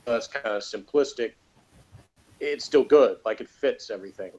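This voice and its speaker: Male reviewer, conversational and argumentative, mid-pitched voice on a dull, low-fidelity recording. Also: normal speed, darkish tone, breathy edge. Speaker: podcast reviewer.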